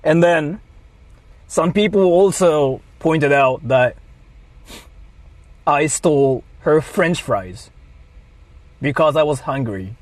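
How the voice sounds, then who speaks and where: Male streamer, higher-than-average pitch, slow deliberate delivery in broken phrases, mock-apologetic monologue. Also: lively intonation, no room sound. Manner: deliberate, halting short phrases. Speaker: livestreamer.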